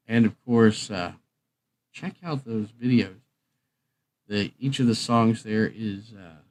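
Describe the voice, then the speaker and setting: Low-pitched man rambling casually on livestream. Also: darkish tone. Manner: hesitant, thinking aloud. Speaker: livestream host.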